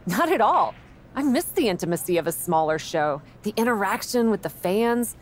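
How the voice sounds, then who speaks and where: A woman's voice: mid-range voice, big pitch swings, moderate pace, slight background noise, close to an everyday voice. An actress playing a confident, playful woman wrestler in a video game cutscene. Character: confident, punning female wrestling champion.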